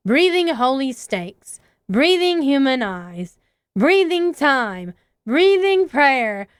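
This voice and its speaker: A woman voicing a shy, intense teenage schoolgirl, soft and hesitant, reading game dialogue aloud. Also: high voice, clean tone, no room sound, studio quality, heavily acted.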